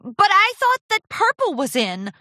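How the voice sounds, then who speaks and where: A woman's voice: extremely high pitch, huge pitch swings, measured pace, pushed and bright, dry recording, studio quality, voice pushed up, full character voice. A woman voicing an eager, gushing young female pony apprentice in a storybook, with an earnest girlish manner. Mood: upset, hurt. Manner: earnest, girlish, stammering when flustered.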